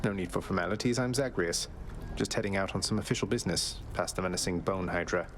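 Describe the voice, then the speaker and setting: Game hero, cocky young prince: low register, dry, casual, music underneath. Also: male voice, fast talker. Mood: wry. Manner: dry, nonchalant, polite. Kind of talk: video game dialogue.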